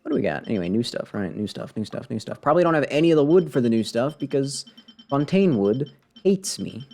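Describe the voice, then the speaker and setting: A man's voice: mid-range voice, sing-song, brisk, gravelly, mellow, soft background music. A man giving casual live commentary as a game streamer, rambling and muttering to himself with playful sarcasm.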